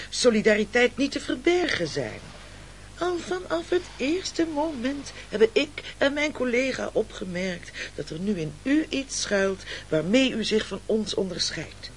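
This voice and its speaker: Oily, animated castaway speechmaker. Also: male voice, high voice, slight background noise, full character voice. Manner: grandiloquent, ingratiating. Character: unctuous, flattering castaway orator, slyly manipulative. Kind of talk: theatrical radio play acting.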